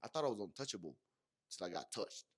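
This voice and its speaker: A young man from Nigeria with a Yoruba accent, telling a personal story to camera in a slangy, animated way with many fillers. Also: on the low side, quick pace, husky. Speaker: vlogger. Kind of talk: casual monologue to camera.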